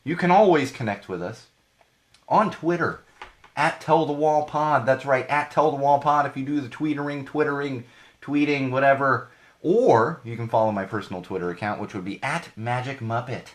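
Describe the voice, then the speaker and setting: A man in his thirties hosts a podcast live, his voice a little hoarse and worn. He talks in a loose, rambling way, circling back and correcting himself mid-sentence. His pitch sits in an ordinary middle range. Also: normal speed. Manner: chatty, rambling, self-correcting. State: slightly hoarse, losing his voice. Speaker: podcast host. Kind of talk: live podcast monologue with plugs.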